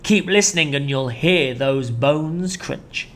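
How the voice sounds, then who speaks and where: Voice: medium pitch, fairly bright tone, slight background noise. A reader doing a gloating enormous crocodile. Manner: slow, gloating, drawn-out delivery. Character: boastful greedy enormous male crocodile.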